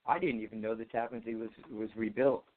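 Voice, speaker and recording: A man's voice: lowish voice, fairly even intonation, quick pace. A man casually moderating a group call as host, putting questions to the guests. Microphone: phone call. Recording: tinny, band-limited phone line.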